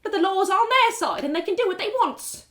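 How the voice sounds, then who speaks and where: Storybook beggar woman put on by a narrator: high, swooping voice, humble, deferential, folksy and imploring. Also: animated, fairly quick, bright, forceful tone, heavily acted. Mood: resigned. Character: humble, pleading beggar woman, anxious and grateful.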